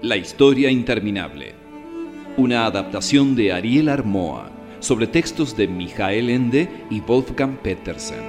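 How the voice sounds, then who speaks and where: A man's voice: fairly low pitch, lively intonation, unhurried. A man narrating a fantasy radio drama as a grave storyteller. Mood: solemn. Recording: long reverb with music bed.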